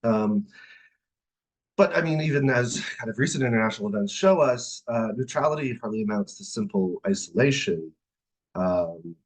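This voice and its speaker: A man giving a semi-scripted academic talk with hesitant, halting phrasing. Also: fairly low pitch, animated, poor audio. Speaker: academic presenter, literature professor.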